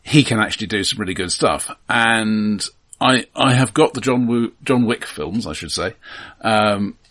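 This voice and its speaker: A middle-aged man co-hosting a film podcast, speaking casually and thoughtfully, halting and often repeating the starts of words. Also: fairly low pitch, a bit bright.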